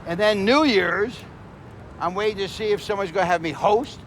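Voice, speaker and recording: A man's voice: sits high, normal speed, low-quality recording. A man chatting casually and playfully as he hosts a street vlog, with a boastful streak. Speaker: celebrity show host. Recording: loud music and street traffic behind. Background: loud music, vehicles, urban noise.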